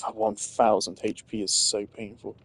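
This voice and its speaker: A man's voice: fairly low pitch, flat delivery, normal speed, slight background noise. A man giving casual gameplay commentary, rambling as he thinks aloud. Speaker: gamer.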